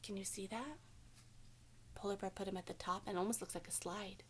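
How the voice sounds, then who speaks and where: A woman's voice: medium pitch, steady tone, brisk, slight background noise. A woman teacher reading a picture book aloud to children, expressively and with simple questions for the listeners. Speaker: elementary school teacher.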